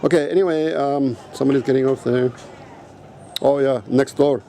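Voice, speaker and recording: A man's voice: mid-range voice, steady tone, moderate pace, dark tone, a little hiss or hum. A native Afrikaans-speaking man vlogging outdoors in a casual, rambling and blunt way, swearing now and then. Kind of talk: casual rambling vlog talk.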